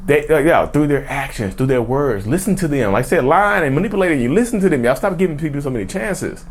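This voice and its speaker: Brief: emphatic male vlog host, casual rant, very lively pitch. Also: fast talker. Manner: animated and emphatic, slangy, addresses viewers as y'all. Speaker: YouTube host.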